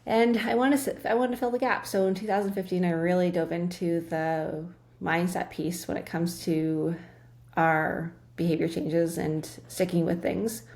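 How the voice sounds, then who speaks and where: A middle-aged woman talks as a guest in a relaxed interview, her voice set low with a gravelly edge. She speaks quickly and earnestly, restarting phrases as she thinks aloud. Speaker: guest, nutrition practitioner. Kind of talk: casual interview chat.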